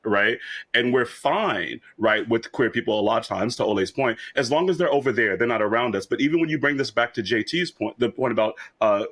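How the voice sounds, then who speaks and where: Fast, emphatic man from rural Kansas. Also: medium pitch, fairly bright tone. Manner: argumentative, rapid and emphatic. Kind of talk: casual panel discussion.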